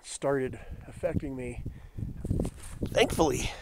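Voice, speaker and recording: Raspy man, mid-pitched, getting over a cold, casual nature vlogger talking to his camera outdoors, background noise clearly audible. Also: slow pace. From Southern California. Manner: musing, relaxed botanical naming. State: getting over a head cold, deeper and raspier than usual. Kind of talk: casual walking commentary. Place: outdoors in a mountain forest before dawn.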